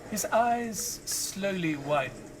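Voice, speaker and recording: An actor playing an elderly retired doctor and author in an audio drama, narrating in a measured, solemn, wistful way. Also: male voice, high-pitched, animated, background noise. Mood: reflective, solemn. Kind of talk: dramatic first-person scripted narration.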